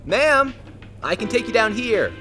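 Perky oblivious store cashier, rambling small talk, super animated pitch, audio drama w music under. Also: female voice, measured pace, exaggerated voice. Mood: polite, perky. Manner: gushing, casual, rambling small talk with 'like' and 'you know'. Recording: loud music bed behind the voice.

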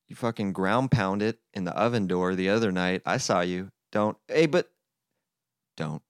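A man's voice: sits low, normal speed, clear, resonant tone, no room sound, studio quality, close to an everyday voice. A man voicing a blunt, exasperated frog in a comic storybook reading, scolding and coarse. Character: bossy, blunt male frog, Toad's exasperated friend.

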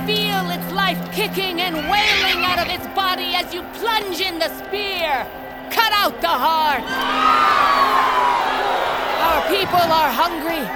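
Fierce high-pitched woman war chief. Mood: fierce, rousing. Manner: declamatory, forceful. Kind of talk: dramatic audio drama oratory. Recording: loud music and crowd behind.

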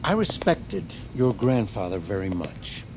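An actor playing a quietly pressuring male American army corporal in an audio drama, speaking in a halting, deliberate way. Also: on the low side, big pitch swings, background noise. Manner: halting, deliberate, soft-pedalling.

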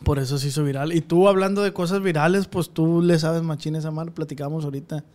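A man's voice: on the high side, fairly even intonation, quick pace, a little mellow. Slangy young man hosting a podcast. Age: 20s. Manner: slangy, joking, asks questions. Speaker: podcast host. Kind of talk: casual chat.

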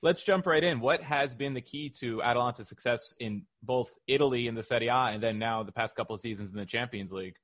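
A man's voice: medium pitch, quick pace, few pauses, a bit bright, no echo. A man hosting a conversational sports podcast, interviewing a guest. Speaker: podcast host.

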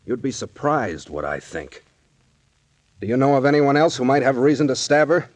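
A man's voice: medium pitch, normal speed, dry recording, close to an everyday voice. Guy doing a stern suspicious police captain, old radio drama, blunt and bossy, really dry close sound. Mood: sharp, commanding. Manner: commanding, blunt questioning. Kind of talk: 1940s radio acting.

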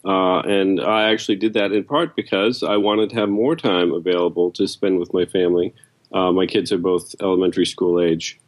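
A man's voice: deep voice, steady tone, moderate pace. A man, an editor, answering questions casually as a guest in an interview.

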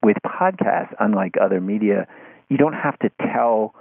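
A man speaking as an interview guest in analytical conversation, halting and circling back over his phrases. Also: fairly low pitch, lively intonation, gravelly, breathy edge, no echo. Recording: narrow phone-like line.

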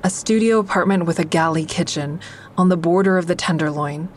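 A woman's voice: lowish voice, brisk, a little hiss or hum, close to an everyday voice. An actress playing a frank, sardonic young woman who narrates her own story in an audio drama in a confessional, wry way. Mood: wry, guarded. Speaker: actor in a fiction podcast.

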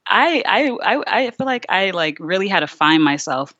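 A young woman from Virginia chatting candidly as a podcast guest, peppering her talk with "like" and quick restarts. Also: medium pitch, sing-song, a bit bright, no echo. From Richmond, Virginia, USA. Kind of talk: casual interview conversation. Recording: slightly dull, lo-fi sound.

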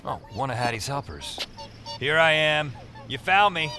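A man's voice: fairly high pitch, expressive, moderate pace, pushed and bright. A man acting a rattled, sardonic everyman who narrates his own weird adventures in an audio drama. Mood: wry, playful. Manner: sardonic, self-talking, exclamatory.

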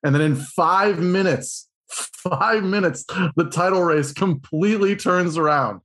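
A man co-hosting a sports podcast, talking in an excitable, rambling way. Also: sits high, unhurried. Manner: excitable, rambling, lots of fillers and restarts. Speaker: podcast co-host. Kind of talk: casual sports podcast chat.

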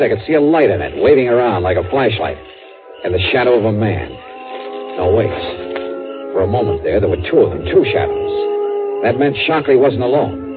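A tough, brisk male investigator tells his story in old radio style. His voice sits fairly low, clipped and urgent. The sound is thin and narrow, with prominent dramatic music behind. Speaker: detective lead and narrator. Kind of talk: 1950s hard-boiled radio drama acting. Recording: thin, band-limited 1950s radio recording.

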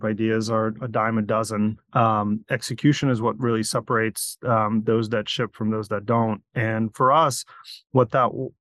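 A middle-aged man, born in Crimea and raised in Virginia, giving measured, explanatory interview answers with frequent restarts. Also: mid-range voice, dry recording, clean studio sound. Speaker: company founder.

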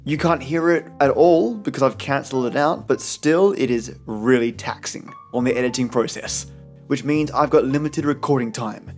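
A male podcast host with a slightly high voice delivers a scripted introduction. His manner is theatrical and playful, over light background music. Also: a little mellow. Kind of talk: scripted dramatic introduction and listener thanks.

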